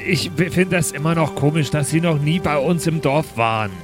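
A man's voice: fairly high pitch, normal speed. A man bantering casually as a tabletop roleplay player, often groping for words. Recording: music playing behind the voice. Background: faint music.

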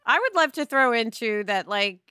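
A middle-aged woman co-hosting a podcast in casual discussion, chatty and emphatic in an animated way. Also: on the high side, moderate pace, smooth, no room sound, clean studio sound. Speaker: podcast co-host.

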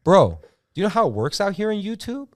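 A man's voice: high-pitched, fast talker, dry recording, clean studio sound. A man hosting a casual chat show, telling stories in a rambling, slangy way and swearing freely. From Iran. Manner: animated slangy storytelling with frequent "like" and swearing. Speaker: host of a YouTube show.

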